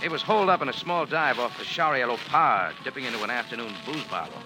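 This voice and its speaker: An actor with an American accent playing a wry, slangy, tough cafe owner in 1940s radio noir. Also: male voice, medium pitch, expressive, fast, close to an everyday voice, rough recording. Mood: wry narrating. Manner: sardonic, slangy, unflustered. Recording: old 1940s radio recording with music.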